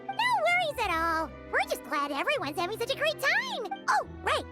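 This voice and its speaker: Squeaky, tiny fairy companion. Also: female voice, wildly swooping pitch, moderate pace, over loud music, full character voice. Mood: cheerful. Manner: squeaky, perky, chatty. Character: tiny, cheerful, childlike female fairy companion. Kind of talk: video game voice acting.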